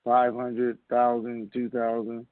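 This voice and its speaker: A man's voice: mid-range voice, completely flat delivery, takes it slowly, no echo. A man hosting an informal question-and-answer conference call over the telephone. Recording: muffled narrowband phone line.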